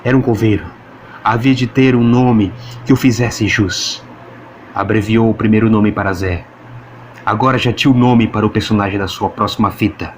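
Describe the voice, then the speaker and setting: Brazilian guy narrating a dramatic audiobook, lowish voice, noisy ambience behind. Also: fairly even intonation, normal speed. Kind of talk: dramatic scripted story narration.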